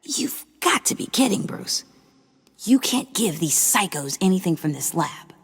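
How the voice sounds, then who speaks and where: An actress playing an angry, sharp, defiant young woman in a dramatic video game, with a husky, breathy voice. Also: fairly low pitch, very animated, measured pace, exaggerated voice. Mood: outraged. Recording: reverberant hall sound.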